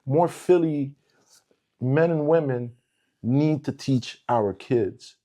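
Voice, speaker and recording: Emphatic man with a dark voice. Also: mid-range voice, takes it slowly. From Portugal. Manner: emphatic, repeats words when building a point. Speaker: former teacher. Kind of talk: casual conversation.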